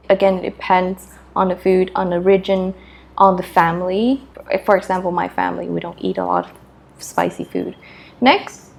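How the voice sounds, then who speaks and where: A woman from Vietnam with a Vietnamese accent, chatting casually as she answers questions in halting, thoughtful bits with short punchy answers. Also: mid-range voice, gravelly, darkish tone. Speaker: video creator answering questions. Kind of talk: casual chat to camera.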